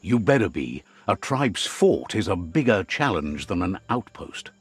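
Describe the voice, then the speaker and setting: A man's voice: low-pitched, very animated, measured pace, breathy edge. A man narrating a video game in a wry storybook style, guiding the player along. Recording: music playing under the voice. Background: game music.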